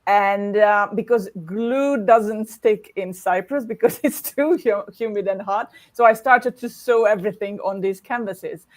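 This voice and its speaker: A woman from Hungary, talking as a guest artist on a video call in a warm, chatty way with laughs between her words. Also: medium pitch, a little slow, few pauses. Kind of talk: casual interview talk.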